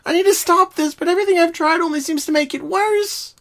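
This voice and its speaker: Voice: very high-pitched, fairly quick, a bit bright, voice pushed up. A performer voicing a frightened, exhausted young female pony with a quivering, pleading voice in a cartoon fan reading. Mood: panicked. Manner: whiny, dramatic, pleading.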